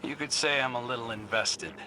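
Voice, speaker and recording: A man's voice: medium pitch, fairly quick, sharp tone, a little hiss or hum, natural voice, low-quality recording. An actor playing an easygoing, teasing male rogue hero in a video game, wry and nonchalant. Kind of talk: scripted video game dialogue.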